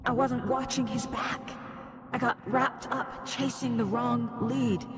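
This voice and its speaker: High, creaky, guilt-ridden investigator. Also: female voice, fairly even intonation, takes it slowly, noisy background. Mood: bitter self-blame. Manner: bitter self-blame in short, weighted phrases. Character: anguished woman investigator, guilt-ridden and despairing. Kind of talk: dramatic video game acting.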